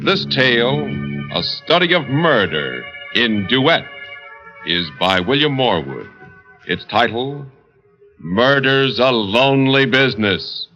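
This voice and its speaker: An actor playing an ominous host of macabre midnight tales in a theatrical 1940s radio horror style. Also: male voice, mid-range voice, sing-song, pushed and bright, full character voice. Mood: formal announcing. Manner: slow, theatrical, ominous delivery. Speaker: announcer and narrator. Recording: dull old 1940s radio recording, music.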